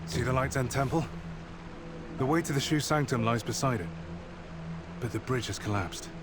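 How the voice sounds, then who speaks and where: A man's voice: medium pitch, natural voice. An actor playing a concerned, loyal male scout in a game cutscene, speaking earnestly and explaining as he goes. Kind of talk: scripted game cutscene dialogue. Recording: clear ambient noise behind the voice.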